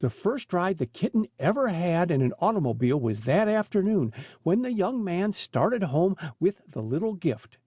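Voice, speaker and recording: High-pitched, lively male storybook narrator, muffled recording. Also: normal speed, breathy edge, no room sound. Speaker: audiobook narrator.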